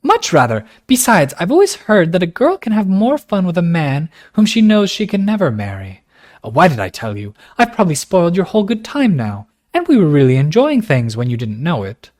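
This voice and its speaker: Man doing high impulsive rich girl. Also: huge pitch swings, darkish tone. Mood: passionate. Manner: high, passionate, rushed. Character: wealthy young woman, impulsive, frank.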